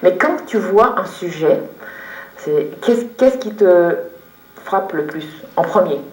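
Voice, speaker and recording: A woman interviewer in a conversational TV interview, halting as she builds her questions in fragments. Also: lowish voice, animated. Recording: roomy echo, distant microphone.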